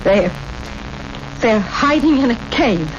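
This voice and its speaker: High-voiced, animated pleading wife. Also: female voice, slow. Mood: anxious. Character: earnest, pleading village wife and mother. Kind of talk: 1970s radio drama acting. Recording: muffled, noisy old radio recording.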